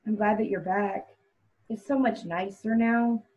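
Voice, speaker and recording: A woman's voice: medium pitch, fairly even intonation, measured pace, darkish tone, lightly acted. A woman playing an anxious, devoted young wife in a stage play table read, with a genteel, earnest, placating manner. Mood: weary, fond. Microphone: video call. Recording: dull video-call audio.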